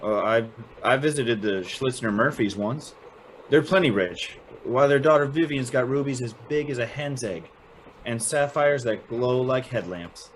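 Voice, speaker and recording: A man's voice: mid-range voice, measured pace, close to an everyday voice. Man as eager young Southern schoolboy type, folksy and chatty, easily impressed. Radio play, slightly noisy recording. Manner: folksy, chatty, hesitant narrating and exclaiming. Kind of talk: old-time radio drama acting.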